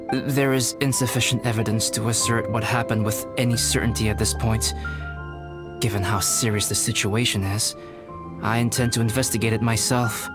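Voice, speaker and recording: A low-pitched young scholar-knight acted by a man with a calm, detached, analytical manner. His speech is formal and carefully measured, a game performance with music clearly underneath. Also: natural voice. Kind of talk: video game voice acting.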